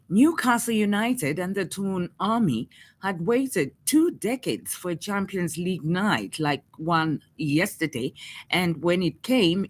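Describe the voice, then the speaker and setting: A woman with a Zambian accent reads a scripted radio news bulletin as the news reader. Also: on the low side.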